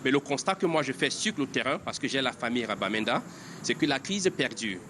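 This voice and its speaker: A man's voice: medium pitch, expressive, fairly quick. Emphatic man interviewed on a noisy street. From Cameroon. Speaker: poet interviewee. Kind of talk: interview statement.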